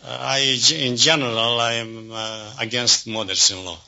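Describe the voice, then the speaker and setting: A man contestant on an old radio quiz, telling anecdotes in halting, earnest, non-idiomatic English. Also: on the low side, gravelly. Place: radio studio. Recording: dull, noisy vintage radio broadcast.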